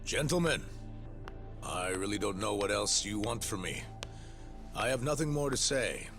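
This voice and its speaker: Guy voicing a tired formal director in a game, really deep, music playing behind. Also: animated, moderate pace, lightly acted. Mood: weary, terse. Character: weary, formal male institute director. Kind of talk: English video game dub acting.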